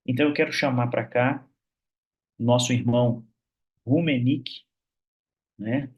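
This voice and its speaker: A man's voice: medium pitch, fairly even intonation, unhurried, husky, highs cut off. Chatty Brazilian man hosting a church call. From Minas Gerais, Brazil. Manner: warm, chatty, many fillers like "né". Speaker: host of an online prayer meeting. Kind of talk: casual church meeting hosting. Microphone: video call.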